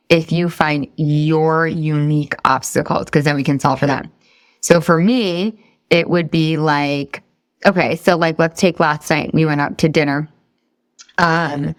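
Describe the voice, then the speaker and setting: A woman's voice: low voice, steady tone, unhurried, dry recording, studio quality. A middle-aged woman from the Boston area, a weight loss coach leading a live session. She talks straight to her group and asks them questions in an upbeat way.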